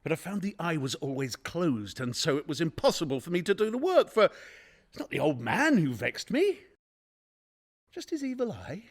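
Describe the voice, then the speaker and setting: An actor playing a nervous, unhinged madman in a gothic radio drama monologue, halting and theatrical in his delivery. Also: fairly high pitch, very animated. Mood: gleeful, cunning. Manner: halting, stammering, theatrical, swinging from whisper to outburst. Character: nervous, unhinged madman confessing a murder obsession. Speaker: narrator actor in a radio play.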